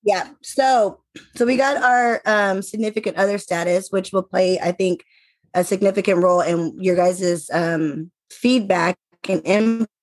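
A woman in her forties hosting a casual panel chat, brisk and teasing. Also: mid-range voice, steady tone, no echo. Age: 40s. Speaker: host.

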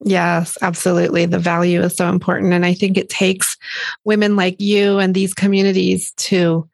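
A woman's voice: fairly low pitch, little pitch movement, moderate pace, vocal fry, darkish tone, no room sound. Enthusiastic woman podcast host. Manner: enthusiastic, affirming. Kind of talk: podcast interview.

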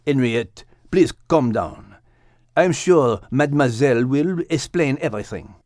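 A man's voice: medium pitch, a little slow, no echo, clean studio sound, close to an everyday voice. Man doing a courtly, lilting French rooster. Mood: placating. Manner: calm, courtly, polite. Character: courteous, patient henpecked French rooster husband. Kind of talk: animated audiobook character reading.

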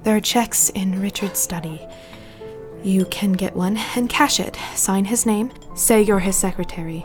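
A woman's voice: medium pitch, measured pace, soft background music, natural voice. A woman playing a fearful, drunken, unhappy wife who pleads in an audio drama. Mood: pleading, secretive.

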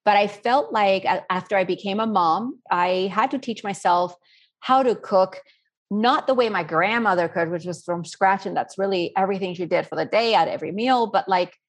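A woman's voice: mid-range voice, normal speed. A middle-aged woman from Spain answering interview questions in an earnest, rambling, conversational way. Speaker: interview guest, self-taught cook.

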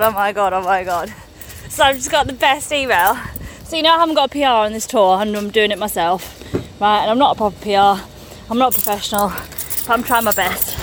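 A middle-aged woman, a comedian chatting casually to camera on her tour vlog, excitable and gushing. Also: fairly high pitch, a little hiss or hum. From England.

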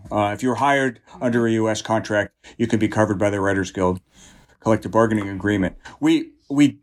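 Low-voiced man, blunt and deliberate. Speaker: union representative. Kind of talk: explanatory interview answers.

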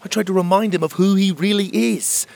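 A man voicing an earnest, uneasy young male fairy hero, raised in pitch and at times whispered. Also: fairly quick, creaky, darkish tone.

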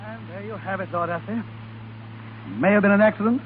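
An actor playing a brisk, officious army colonel, clipped and authoritative, in 1930s radio drama. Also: male voice, high-pitched, expressive. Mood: suspicious, brisk. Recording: tinny, very noisy 1930s radio.

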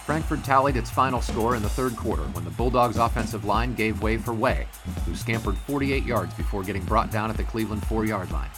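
Man playing a low-voiced 1920s sportswriter. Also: fairly quick, music in the background, close to an everyday voice. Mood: crisp reporting. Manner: wordy newspaper phrasing, dry. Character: earnest, skeptical 1920s sportswriter reciting game reports. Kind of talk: 1920s-set audio drama.

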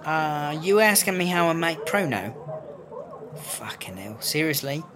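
Casting: man as foul-mouthed female British hooch seller for comedy audio drama, unhurried and wheedling, faint background noise. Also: on the high side, very animated. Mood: indignant, guarded. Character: crafty foul-mouthed female prison wine brewer, chummy and wheedling.

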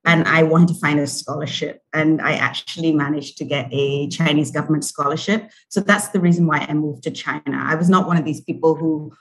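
A woman's voice: low-pitched, steady tone, a little fast, few pauses, poor audio. A woman in her forties from Barbados, giving a conversational personal account as a podcast guest. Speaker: podcast guest, corporate event organizer.

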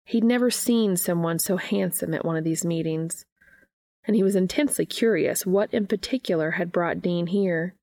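A woman reads fiction aloud as a podcast host and narrator. Her voice sits fairly low, with plenty of vocal fry. The sound is close and very dry. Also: normal speed, mellow, no room sound. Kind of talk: scripted audiobook-style narration of fan fiction.

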